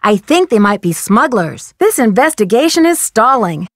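An earnest young woman sleuth from an adventure game. Her voice sits fairly high and she speaks politely, with clear diction and an inquisitive air. The recording is dry, with no room sound. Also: sing-song, moderate pace, no echo. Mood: puzzled, confiding. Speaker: voice actress in a detective adventure game. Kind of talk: scripted game dialogue.

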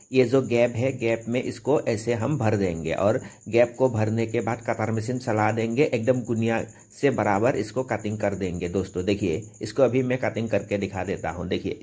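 A man's voice: medium pitch, a little mellow. A young man narrating an informal carpentry how-to, explaining each step with some repetition. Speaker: carpenter demonstrating on own channel.

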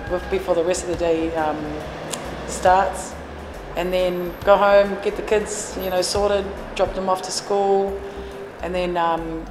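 A woman in her forties who runs a café and trains fitness classes, answering interview questions earnestly with many fillers and restarts. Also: fairly low pitch. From New Zealand. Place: café, indoors. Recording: echoey room with long reverb and music behind.

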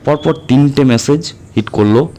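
A man's voice: medium pitch, normal speed, mellow, a little hiss or hum. A man reading a horror audio story as a narrator, in a dramatic scripted style. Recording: music under the voice. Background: background music.

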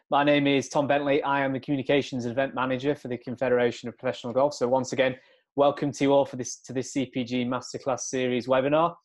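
Man introducing a golf webinar. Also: mid-range voice. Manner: friendly, organised, brisk announcements. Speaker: event host.

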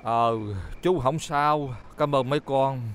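A man playing a sentimental elderly grandfather in a dubbed children's cartoon, with a southern Vietnamese accent and an expressive, folksy manner. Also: medium pitch, slow, noisy background, lightly acted. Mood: apologetic, sad. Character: elderly grandfather, sentimental, apologetic, grateful townsman. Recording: children's music loud behind the voice. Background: loud children's music.

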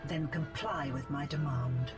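A woman's voice: deep voice, measured pace. A woman playing a cold, imperious cyber slave villain, formal and menacing, in a video game. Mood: cold, commanding. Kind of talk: video game cutscene acting. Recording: game audio under loud music.

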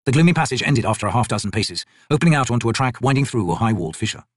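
A man's voice: medium pitch, animated, rapid-fire, no room sound, studio quality. A man reading scripted fantasy fiction as an audiobook narrator.

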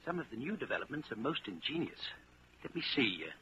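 An actor playing a suave, cultivated party official in a 1940s radio drama, polite and measured with casual hesitations. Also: male voice, medium pitch, very animated, bright, forceful tone. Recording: muffled, noisy 1940s radio recording.

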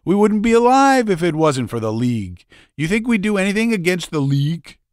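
A man's voice: high-pitched, very animated, fairly quick, dry recording, studio quality, pitched above the performer's own voice. A man reading aloud, voicing a huge fat man who blusters and takes offense. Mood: grumbling. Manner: blustering, indignant. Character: great fat man, loud and defensive.

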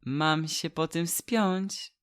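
A man's voice: on the high side, extremely slow, fairly bright tone, clear, resonant tone. A man voicing a cheerful, curious and slightly timid statue boy in an audio drama, exclaiming in a naive way. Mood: nervous.

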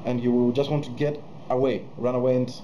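A man in his thirties, a musician hosting his own channel, talks casually to camera in a small room at night, pausing often with fillers and restarts. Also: medium pitch, little pitch movement, mellow, highs cut off, a little hiss or hum. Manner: hesitant, many fillers and restarts. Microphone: webcam close to face.

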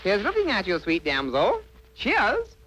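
A man's voice: high voice, wildly swooping pitch, a little slow, sharp tone, exaggerated voice. A gallant young prince from a cartoon. His voice swoops wildly up and down. He speaks in a flowery, pompous, gushing way. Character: handsome young prince, gallant, foppish and smitten. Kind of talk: cartoon voice acting.

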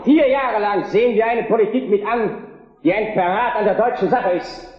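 An actor playing an indignant opposition deputy, declaiming in a vintage historical drama. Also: male voice, high-pitched, normal speed, very echoey, noisy background, natural voice. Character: indignant opposition deputy, fiery parliamentary orator. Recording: dull old film soundtrack.

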